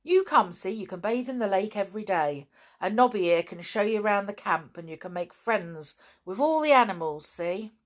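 A woman voicing a rough male circus man who is polite only with great effort. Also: mid-range voice, expressive, moderate pace, pitched above the performer's own voice, close to an everyday voice. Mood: gruff.

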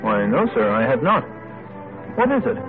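A man's voice: mid-range voice, sing-song, normal speed, phone-quality sound, close to an everyday voice. Through a thin old broadcast with music underneath comes a man playing a dutiful army lieutenant. He is formal and deferential, a male officer in a vintage radio play. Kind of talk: old-time radio drama acting.

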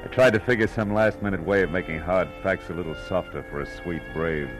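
An actor playing a tough but compassionate private detective, terse and world-weary, in 1940s radio noir. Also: male voice, low-pitched, brisk, natural voice. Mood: reflective, weary. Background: dramatic music.

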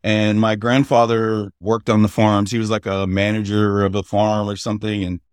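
A middle-aged man, a podcast guest, telling family stories in a halting, conversational way without a regional accent. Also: fairly low pitch, flat delivery, no room sound, studio quality. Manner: halting, with many fillers and restarts. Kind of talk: casual interview storytelling.

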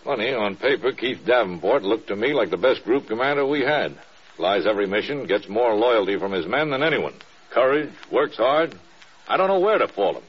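A husky-voiced American general in an old radio drama, measured and commanding. His delivery rises and falls widely as he presses his questions. The recording is dull and lo-fi. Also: lowish voice, animated. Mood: troubled, reflective. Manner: measured, authoritative, pointed questioning. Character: stern, probing older male air force general.

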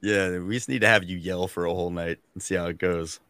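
A man's voice: low-pitched, steady tone, fast talker, pushed and bright, dry recording. A man co-hosting a podcast in casual, joking banter. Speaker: podcast co-host.

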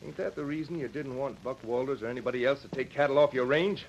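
An actor playing a shrewd, plain-spoken American western sheriff in an old radio drama, folksy and firm. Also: male voice, medium pitch, fast, slight background noise, close to an everyday voice. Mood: pressing, accusing. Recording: muffled old radio recording.

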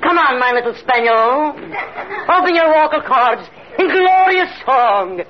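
An actor playing a fawning, excitable music teacher with florid, insistent speech in an old radio comedy. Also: male voice, very high-pitched, a little slow. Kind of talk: 1950s radio comedy acting. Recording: noisy muffled old radio, loud audience.

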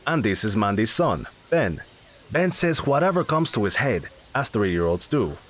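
A man's voice: on the low side, big pitch swings, normal speed. A man narrating a comedy audio drama in a dry, matter-of-fact way. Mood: wry.

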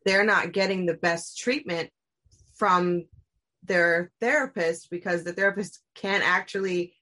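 A woman hosting a podcast chats with her guest in a casual, gushing way, throwing in slang and lots of fillers. Her voice sits slightly low for a woman. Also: unhurried, pushed and bright. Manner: enthusiastic, slangy praise with many fillers. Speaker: podcast host. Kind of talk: casual interview chat.

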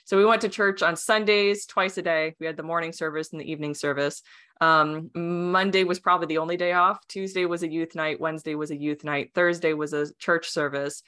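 A woman's voice: sits low, fairly even intonation, fairly quick, fairly bright tone, clean tone. A young adult woman whose first language was Romanian, a podcast guest talking casually, rambling with many fillers, restarts and frequent laughs. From United States. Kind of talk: casual interview chat.

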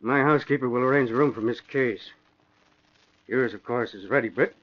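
A man's voice: medium pitch, moderate pace, natural voice. An actor playing a worried but steadfast older father in 1940s radio drama style. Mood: cordial. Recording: muffled, hissy old radio recording.

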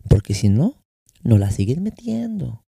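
A man's voice: medium pitch, big pitch swings, unhurried, gravelly, mellow, slightly rough, no echo, studio quality. A young Mexican man with a Mexican Spanish accent and some American English, hosting his own podcast and talking loosely in slang, switching between Spanish and English. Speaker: podcast host. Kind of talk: casual solo podcast monologue. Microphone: close podcast microphone.

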